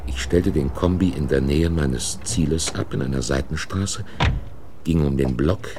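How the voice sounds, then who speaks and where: An actor playing a shaken but determined office man turned accomplice in a German radio crime play, speaking in brooding, deliberate phrases. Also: very deep voice. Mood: brooding, tense. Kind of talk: German radio crime play acting and first-person narration. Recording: noisy vintage radio play recording.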